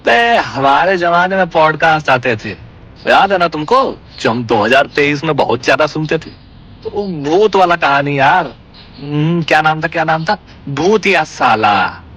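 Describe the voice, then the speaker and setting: Man acting a bumbling ghost, wildly animated pitch. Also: normal speed, breathy edge. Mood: chatty, indignant. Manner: excitable, theatrical, rambling. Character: chatty, flirty, bumbling comic ghost buddy. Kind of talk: comedy audio drama acting.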